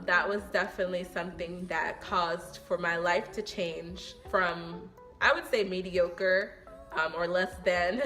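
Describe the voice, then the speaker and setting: A young woman speaks earnestly and reflectively in a casual vlog, her voice sitting a little low for a woman. She pauses often with ums as she thinks aloud. Soft music plays faintly behind her. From New York. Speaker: vlogger. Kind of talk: casual get-to-know-me talk to camera. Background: soft background music.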